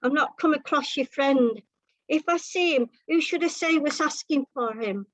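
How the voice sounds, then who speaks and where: Woman playing a helpful, homely housewife, high voice. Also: moderate pace, fairly bright tone, no room sound. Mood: kindly, curious. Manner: homely, says "love" and "pet". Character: helpful, chatty, unflappable housewife narrator of a comic story.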